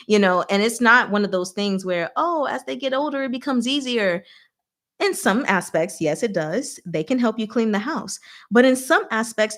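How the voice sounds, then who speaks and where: A woman with an average-pitched voice talks as a livestream host. Her delivery is casual and rambling, with an animated, candid manner. She slips easily into acting out imagined exchanges as she talks to her viewers. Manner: animated and candid, acts out imagined dialogue, laughs often. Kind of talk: casual rambling livestream chat, reading and answering viewer comments.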